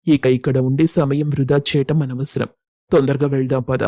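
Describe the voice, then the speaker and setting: A supportive young husband played by a man in an audio story. He speaks calmly and practically, with flat intonation and a soft tone, dry and close. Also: medium pitch, normal speed, no room sound, close to an everyday voice. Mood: consoling. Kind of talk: acted dialogue in an audio story.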